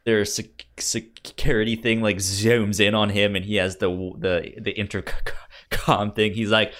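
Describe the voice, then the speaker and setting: A man co-hosting a film podcast in casual conversation, halting and often stammering over repeated words. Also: on the low side, little pitch movement, fairly bright tone. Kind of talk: casual movie discussion.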